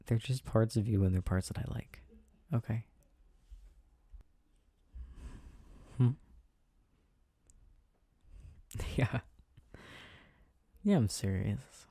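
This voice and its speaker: A man performs an affectionate, gently teasing boyfriend for an ASMR roleplay. His voice is very dark and relaxed, with a gravelly creak and a husky softness. He talks intimately and haltingly, as if reassuring someone he adores. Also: on the low side, no room sound, heavily acted. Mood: tender reassurance. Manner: soft, intimate, halting, chuckling delivery.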